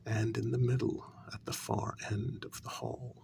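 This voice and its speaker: A man playing a wounded, quietly menacing human who confronts a vampire queen in a soft-spoken ASMR role-play, with a breathy, gravelly half-whisper and deliberate pauses. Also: fairly low pitch, flat delivery, a little hiss or hum. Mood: grave, confiding. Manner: slow, deliberate, pausing between phrases.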